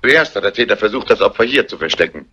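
An actor playing a businesslike, methodical police inspector, authoritative in German crime drama dialogue. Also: male voice, on the low side, lively intonation, fast, sharp tone, muffled recording. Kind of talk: German crime film dubbing, theatrical dialogue. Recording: faintly noisy vintage soundtrack, clicks.

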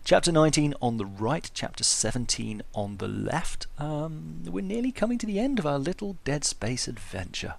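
A man's voice: fairly high pitch, very animated, moderate pace, vocal fry, few pauses. A man giving an offhand, chatty game walkthrough voice-over, with self-deprecating asides. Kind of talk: casual walkthrough commentary.

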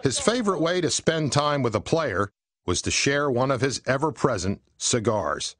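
A man's voice: mid-range voice, animated, normal speed, fairly bright tone, a little hiss or hum. A man with an American accent reading scripted documentary narration. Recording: music behind the voice. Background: background music.

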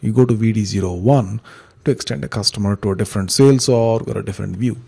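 Gravelly, husky man teaching software. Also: lowish voice, fast talker. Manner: explanatory, conversational, uses examples. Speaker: software trainer, instructor. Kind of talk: informal step-by-step screen tutorial narration.

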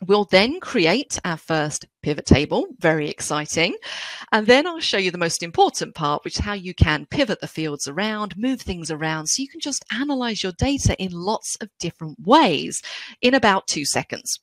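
Middle-aged London-accented woman trainer, lively and lowish, recorded dry and close. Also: no echo, low-quality recording. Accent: London English. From England. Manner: chatty, explanatory, animated delivery. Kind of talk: webinar software tutorial.

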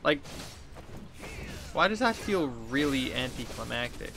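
A man's voice: mid-range voice, big pitch swings, unhurried, vocal fry. A man in his thirties giving casual video game commentary as a streamer, thinking aloud in an informal way. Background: game music.

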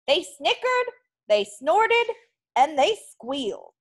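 A woman teacher reading a picture book aloud to young children in an animated, expressive way. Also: sits high, slow pace.

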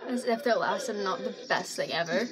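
A woman's voice: medium pitch, a little fast. A young woman giving casual reaction commentary, with wry asides and frequent laughter, recorded on a laptop webcam in a small bedroom. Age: young adult. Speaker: reaction video host. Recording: loud background noise.

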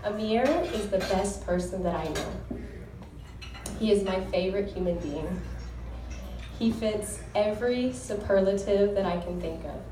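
A woman's voice: mid-range voice, measured pace, noisy background. A young woman giving an earnest, heartfelt wedding toast as the groom's sister, reading scripture in a devout tone. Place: wedding reception hall. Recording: echoey hall recorded from far off.

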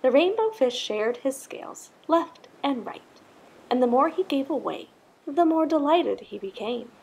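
A woman narrating a children's storybook aloud in an expressive, scripted style. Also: high voice, unhurried, vocal fry, low-quality recording. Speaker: storybook reader.

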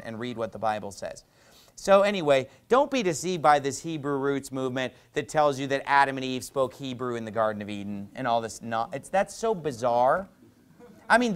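Pastor, thirties, man, slightly high voice, animated conversational sermon on a lapel mic. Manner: animated, gesturing, conversational preaching with asides. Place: small church stage with painted backdrop, indoors.

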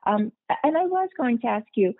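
A woman's voice: a little high, lively intonation, fairly quick, dry recording. A woman hosting a podcast interview, framing her questions fluently and at length. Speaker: podcast host. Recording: tinny phone-like line, dry.

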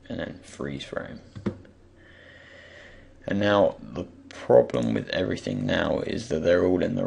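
A man with a croaky, just-woken voice gives an unscripted software tutorial, hesitantly thinking aloud as he goes. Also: sits low. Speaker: tutorial presenter. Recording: faint background noise, keyboard and mouse clicks.

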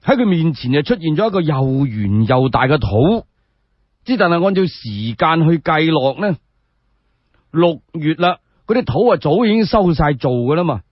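A man with a Cantonese accent telling a radio story solo, in expressive, colloquial, explanatory narration. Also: on the high side, normal speed, husky, no room sound. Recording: slightly dull, lo-fi, dry sound.

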